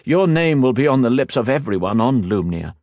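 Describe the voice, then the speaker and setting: A formal, thoughtful elf noble, voiced by a man reading an audiobook. The pitch sits raised and moves lively, with a measured, courtly manner. Dry, close recording. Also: no room sound. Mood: earnest.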